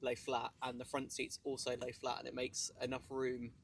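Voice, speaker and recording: Guy on a podcast, really flat monotone, stops and starts, faint hiss. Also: mid-range voice, moderate pace, gravelly. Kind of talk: casual group chat. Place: outdoors in the desert.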